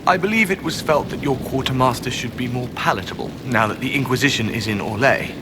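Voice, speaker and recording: A man's voice: mid-range voice, animated, fast talker, background noise, close to an everyday voice. An actor playing a fussy, officious, diplomatic male quartermaster in a fantasy video game, with a slightly hesitant manner. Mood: guarded, diplomatic.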